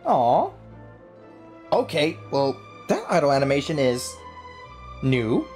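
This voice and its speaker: A woman's voice: very deep voice, wildly swooping pitch, slow, a little mellow. A woman giving casual, slangy, playful gameplay commentary as a streamer. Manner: slangy, playful, laughs often. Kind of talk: casual game reaction commentary. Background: game music.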